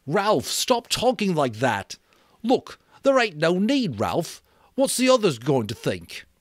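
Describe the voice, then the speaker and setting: Man putting on a shrill, frightened young boy. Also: takes it slowly, dry recording, studio quality, full character voice. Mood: pleading. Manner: shrill, excited, stammering protests. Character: frightened young boy, pudgy, pleading, defensive.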